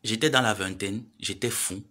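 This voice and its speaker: Sharp-toned lecturing man. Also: fairly low pitch, normal speed. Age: 30s. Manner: preachy, lecturing, pleading then scolding. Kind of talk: admonishing social media live monologue.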